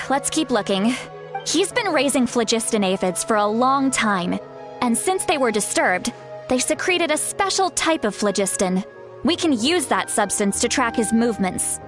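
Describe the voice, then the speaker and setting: A woman's voice: high voice, big pitch swings, measured pace. A woman playing a fondly fussy, self-assured shaman elder who wants to seem youthful, in a video game. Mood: fond, explanatory. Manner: chatty, self-assured, lightly fretful about seeming old-fashioned. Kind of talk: video game character acting. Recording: background music under the voice.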